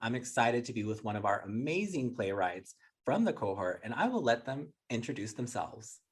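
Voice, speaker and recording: A man's voice: mid-range voice, sing-song, normal speed, breathy edge. A man with an American accent hosting a podcast interview in an upbeat style. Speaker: interview host, workshop facilitator.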